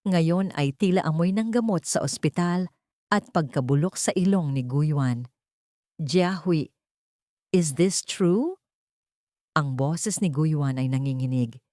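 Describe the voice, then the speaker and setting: A woman's voice: low voice, moderate pace, mellow, no echo, clean studio sound. A woman reading a dramatic story as a scripted narrator.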